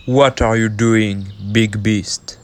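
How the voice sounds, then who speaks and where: A man's voice: fairly low pitch, steady tone, slow pace. A man playing a brave, protective deer in an amateur story dramatization. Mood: challenging. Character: brave protective male deer, proud fighter.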